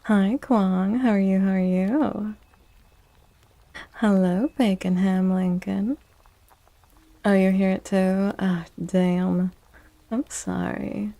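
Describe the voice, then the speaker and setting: Woman, soft-spoken ASMR streamer, slow pace, mellow tone, chatty, close-miked. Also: mid-range voice, steady tone, vocal fry. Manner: chatty, unhurried, greets viewers by name. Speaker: live streamer hosting ASMR tarot stream.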